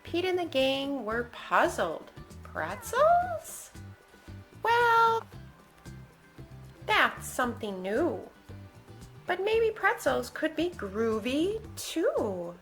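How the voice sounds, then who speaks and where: The woman at library story hour, singing out refrains in a high voice, slow enough for toddlers, music playing softly along. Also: sing-song. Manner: playful, exaggerated, sing-song refrains. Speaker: children's book reader. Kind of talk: animated picture-book read-aloud.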